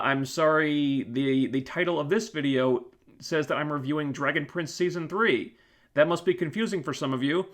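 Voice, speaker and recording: A man's voice: medium pitch, fairly bright tone. A man hosting a live stream, losing his voice and rambling with many fillers and restarts as he thanks viewers. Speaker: YouTube channel host. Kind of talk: casual live stream wrap-up.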